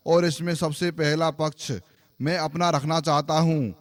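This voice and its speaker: Man voicing a higher-pitched solemn cartoon lion king. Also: level tone, a little slow, lightly acted. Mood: solemn, deliberate. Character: solemn, fair-minded old lion king of the jungle. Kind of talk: animated moral story acting.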